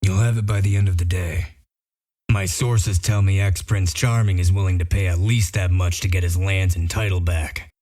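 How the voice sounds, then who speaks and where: A man playing a gruff, world-weary detective in a comic dub, laconic and sardonic. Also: low-pitched, fairly even intonation, moderate pace, mellow. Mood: firm. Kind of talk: scripted comic-dub acting.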